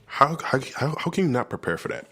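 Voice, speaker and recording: A man co-hosting a casual sports podcast while sick, excitable and playful but talking softly. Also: mid-range voice, quick pace, slightly rough, soft background music. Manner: playful, excitable, hushed to keep quiet. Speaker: podcast co-host.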